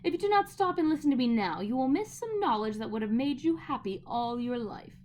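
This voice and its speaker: A woman reading aloud voices a proud, menacing witch queen who commands and then coaxes in a hushed voice. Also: high-pitched, animated, fairly quick, pitched above the performer's own voice. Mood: scornful.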